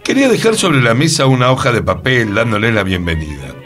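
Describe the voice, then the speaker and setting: A man's voice: mid-range voice, big pitch swings, a little fast, fairly bright tone, faint music behind. A man acting in radio theatre as an earnest, mysterious co-host, with a Buenos Aires accent and a theatrical, suspenseful delivery. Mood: earnest, explaining. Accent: Argentine, Buenos Aires. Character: earnest, mysterious male co-host recounting strange events.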